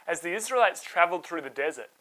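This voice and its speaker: A storyteller retelling Bible tales for children in an expressive, simple and conversational way. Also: mid-range voice, fast talker, soft background music, low-quality recording.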